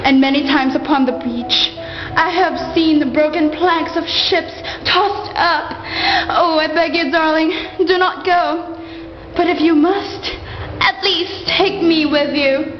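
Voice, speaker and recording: Woman playing anguished devoted young queen, high voice, melodramatic, imploring, radio play, long reverb with music. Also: steady tone, takes it slowly, dull audio. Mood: anxious pleading. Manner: melodramatic, imploring, formal old-fashioned diction. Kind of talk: amateur radio play acting of Greek myth. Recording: reverberant hall sound under music.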